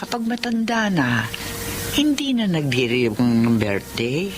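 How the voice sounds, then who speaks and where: An actress playing a gentle, forgiving elderly mother, modest and motherly, in a Filipino radio drama. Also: deep voice, big pitch swings, measured pace, noisy background. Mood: touched, forgiving. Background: music.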